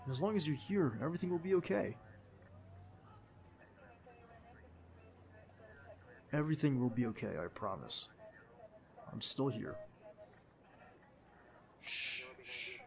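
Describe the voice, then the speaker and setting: Man acting soft, gravelly young agent boyfriend. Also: mid-range voice, expressive, moderate pace, long pauses. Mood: tender, soothing, then gentle, caring. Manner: soothing, intimate, reassuring. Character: tender, protective young secret agent boyfriend. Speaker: ASMR roleplay voice actor. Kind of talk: ASMR boyfriend roleplay acting. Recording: faint background ambience noise.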